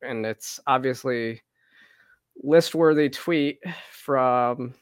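A man of middle pitch hosts a casual political talk show. He speaks with hesitation, filling pauses with ums, and sounds close and dry with no room around him. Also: clean tone, dry recording.

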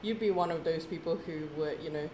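Casting: Indonesian woman, 30s, American-sounding English, lowish voice, chatty guest, echoey room. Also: fast, slight background noise. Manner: chatty, full of fillers and lively retold quotes. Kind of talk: casual conversation.

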